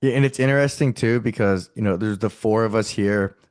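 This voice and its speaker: Low-voiced male podcast host. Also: a little fast, darkish tone. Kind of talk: casual interview chat.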